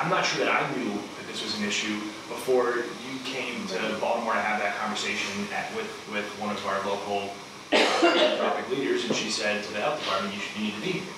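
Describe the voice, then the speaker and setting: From across an echoey, noisy room comes a man's fairly low voice. He is in his thirties and speaks as a panelist at a public discussion. His delivery is measured and halting. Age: 30s. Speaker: panelist from a city health department. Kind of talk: answering audience questions at a panel discussion. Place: conference room panel table, indoors. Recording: very echoey room, distant mic, noisy ambience.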